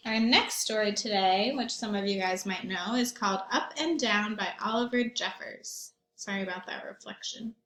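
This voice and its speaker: A woman reading a storybook aloud to young children like a teacher, expressively, pausing for simple questions and comments. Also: mid-range voice, fairly bright tone. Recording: roomy echo.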